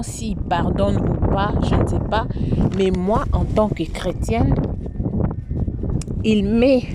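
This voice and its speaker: Preachy woman vlogger, noisy recording. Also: lowish voice. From Congo. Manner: preachy and moralizing, repeats herself. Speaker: YouTube channel host. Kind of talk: earnest commentary vlog.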